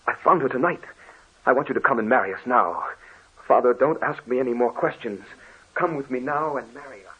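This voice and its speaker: Brief: earnest young suitor, husky, passionate; muffled vintage radio sound. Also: male voice, mid-range voice, a little fast, a bit bright. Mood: urgent. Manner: ardent, pleading. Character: young male lover, earnest and devoted. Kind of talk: 1940s radio acting. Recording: dull lo-fi old radio recording.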